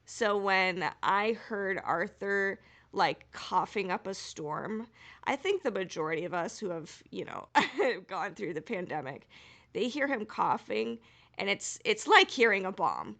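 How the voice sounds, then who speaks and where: Giggly woman podcaster with a creaky voice. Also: medium pitch, lively intonation, a little slow, fairly bright tone. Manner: giggly, enthusiastic. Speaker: podcast co-host. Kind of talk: casual fan discussion with recap.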